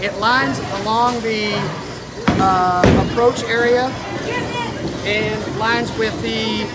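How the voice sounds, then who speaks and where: A man vlog host casually explaining things at a bowling alley, relaxed and halting with fillers, filmed on a handheld phone. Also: high voice. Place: busy indoor bowling alley. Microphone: handheld phone camera. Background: loud bowling alley crowd and noise.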